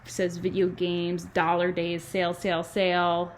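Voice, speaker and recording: A woman, a kindergarten teacher reading to children and adding chatty, animated explanations and questions in simple words. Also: on the low side, normal speed, a little hiss or hum. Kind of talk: children's read-aloud with chatty asides.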